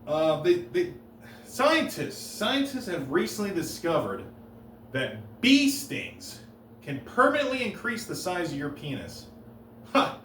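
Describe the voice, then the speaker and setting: A man doing deadpan one-liner stand-up comedy on a stage, halting and restarting between jokes. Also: a little high, slight background noise. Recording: roomy live room, heard from a distance.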